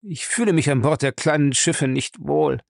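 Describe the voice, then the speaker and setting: A man's voice: on the high side, moderate pace, vocal fry, dry recording, clean studio sound, pitched above the performer's own voice. A man voicing a cowardly, beaten crook, defiant and terrified, gasping in desperation in an audiobook. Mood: cowed. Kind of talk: audiobook character acting.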